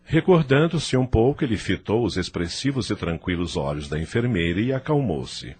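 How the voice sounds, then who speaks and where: A man's voice: on the low side, very animated, moderate pace, darkish tone, slightly rough. A man narrating an audio novel from a script in a solemn, theatrical, dramatic manner.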